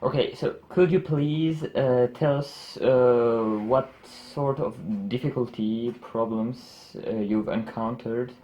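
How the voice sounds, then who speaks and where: A man's voice: mid-range voice, steady tone, slow pace, hardly any pauses, warm tone, lightly acted. A young man as a field reporter, asking questions hesitantly with many fillers.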